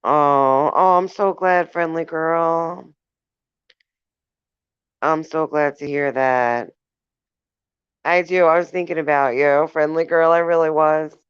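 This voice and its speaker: A woman's voice: low voice, smooth, no echo, muffled recording. Woman, live stream host, casual chat, giggly, fond of viewers, dry close sound. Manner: giggly, laughs often between remarks; affectionate toward viewers.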